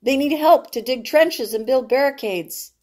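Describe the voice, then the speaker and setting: Woman reading as an urgent, energetic brother. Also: high-pitched, a little slow, pitched above the performer's own voice. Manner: urgent, emphatic. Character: energetic, blunt brother raising the alarm.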